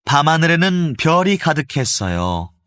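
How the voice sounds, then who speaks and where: A man narrating a children's storybook with an expressive storytelling lilt. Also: fairly high pitch, moderate pace, dry recording, clean studio sound.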